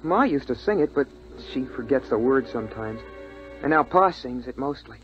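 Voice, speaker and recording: A man's voice: mid-range voice, expressive, moderate pace, natural voice. An actor playing an earnest boy who narrates his family's life on a frozen Earth with wonder, in a 1950s radio drama style. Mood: fond, reflective. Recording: muffled old radio recording, faint music.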